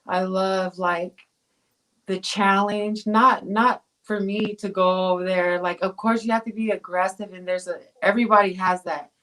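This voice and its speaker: A woman's voice: mid-range voice, fairly even intonation, moderate pace, fairly bright tone, low-quality recording. A woman from Tonga chats casually as an interview guest. She rambles a little, with many fillers and restarts. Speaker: guest, pro boxer.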